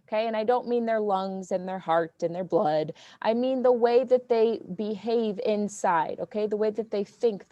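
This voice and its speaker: Brief: dry-sounding woman teacher, loud and playful, keeps kids on track. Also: fairly high pitch, no echo. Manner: loud and goofy, checks in with "okay?". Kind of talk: classroom lesson to children.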